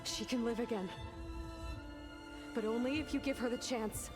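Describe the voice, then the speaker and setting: A woman's voice: fairly high pitch, steady tone, normal speed, close to an everyday voice. A young woman playing a determined, thoughtful game adventurer, speaking earnestly as if working things out. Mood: earnest, pleading. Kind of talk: naturalistic video game dialogue. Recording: loud music behind.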